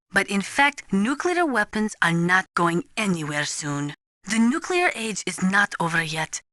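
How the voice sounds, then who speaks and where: A woman's voice: medium pitch, sharp tone, no echo, clean studio sound. A woman playing an earnest, articulate nuclear weapons analyst in a spy video game, explaining in a precise, formal, lecturing manner. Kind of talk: scripted expository video game dialogue.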